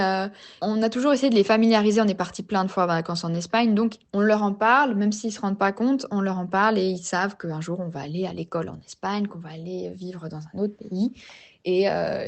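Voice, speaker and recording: A woman's voice: mid-range voice, a little mellow. A woman, talking reflectively as a podcast guest, with many hesitations and restarts. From Geneva region, Switzerland. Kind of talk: personal story in a podcast interview.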